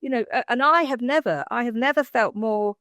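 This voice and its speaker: A woman's voice: on the high side, fairly quick, a bit bright, dry recording. Older British woman debating, close dry sound. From Britain. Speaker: debate panellist. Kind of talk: informal debate argument.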